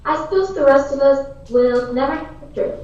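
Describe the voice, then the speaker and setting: A woman's voice: sits high, moderate pace, a little mellow, lightly acted. A woman playing an earnest on-the-scene radio news reporter in a mock news play. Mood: solemn. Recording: very echoey, distant, muffled.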